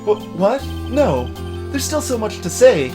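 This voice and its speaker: A man plays an earnest young male lawyer from a courtroom game. He is easily flustered and hesitant, with a fairly high, lively pitch and faint music behind him. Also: measured pace. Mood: startled, upset.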